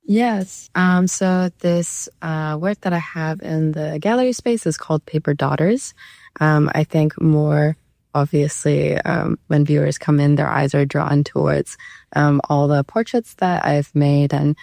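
A young woman from Canada whose first language is Cantonese, talking about her art in a hesitant, reflective way with many pauses and fillers. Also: sits low, gravelly, dark tone, dry recording, studio quality. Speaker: artist. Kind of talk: casual conversation.

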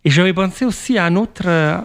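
Man, Italian accent, fairly high voice, thoughtful, hesitant, restarts often, author interview. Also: brisk, a bit bright, clean tone. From Italy. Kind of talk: reflective conversational answers.

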